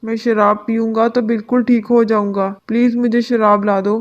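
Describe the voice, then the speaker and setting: A woman's voice: a little high, flat delivery, moderate pace, dull audio, natural voice. A woman voicing a blunt, demanding, drunken male pigeon husband in a cartoon story. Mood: pleading. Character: drunken, abusive male pigeon husband, whiny when sick. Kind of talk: Hindi cartoon story dubbing.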